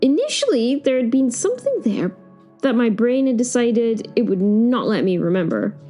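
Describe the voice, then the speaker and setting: A woman performs a flustered, dry-witted female caretaker of Hell telling her own story in an audio drama. Her delivery is understated and wry, wandering into asides, with expressive pitch movement. Faint music plays behind her. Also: moderate pace. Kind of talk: first-person audio drama narration.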